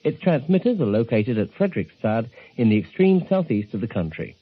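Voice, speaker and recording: A man presenting a radio programme, giving measured, informative scripted narration. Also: medium pitch, big pitch swings, crowd noise. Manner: measured, informative announcer delivery. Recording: tinny narrow-band 1970s shortwave broadcast recording.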